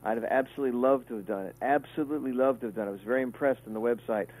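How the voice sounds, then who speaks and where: A man's voice: mid-range voice, very fast, darkish tone, low-quality recording. A man, a guest musician talking casually in a radio interview, rambling with fillers and restarts. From Kentucky, USA.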